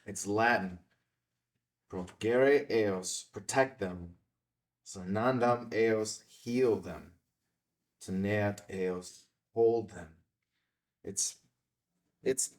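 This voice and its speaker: An actor at a screenplay table read playing an earnest, skeptical man, with halting, thoughtful phrasing. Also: on the low side, expressive. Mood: dazed, hushed. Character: Ben, skeptical, earnest male outsider investigating a scam.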